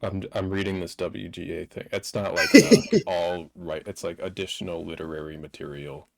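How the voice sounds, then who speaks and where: Man, very low voice, creaky fry, casual co-host, low-key and offhand. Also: lively intonation, moderate pace. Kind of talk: casual chat.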